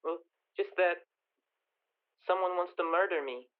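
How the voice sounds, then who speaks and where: A man acts out a nervous, obnoxious fellow phoning in a radio mystery. His voice is high, halting and stammering, squeezed through a thin telephone line. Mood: anxious. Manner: hesitant, stammering. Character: nervous, obnoxious male caller fearing his own murder. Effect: telephone filter. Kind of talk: 1940s radio comedy-mystery acting. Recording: thin, narrow telephone-band sound.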